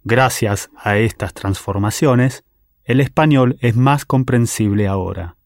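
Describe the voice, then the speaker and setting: A man with a Latin American Spanish accent modeling pronunciation in a measured way for a lesson. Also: medium pitch, darkish tone, no room sound, clean studio sound. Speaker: native speaker model.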